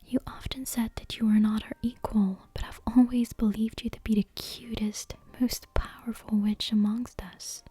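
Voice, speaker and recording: A woman's voice: fairly high pitch, flat delivery, moderate pace, few pauses, slightly rough. Whispering woman reading a bedtime story. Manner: soft, unvoiced whispering. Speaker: ASMR storyteller. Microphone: close microphone.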